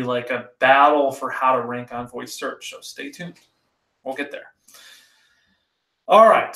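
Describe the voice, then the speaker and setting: Casual male podcast host, mid pitch, rambling and loose, slightly raspy, echoey room. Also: fairly bright tone. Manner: rambling and conversational, many fillers. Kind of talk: casual solo commentary.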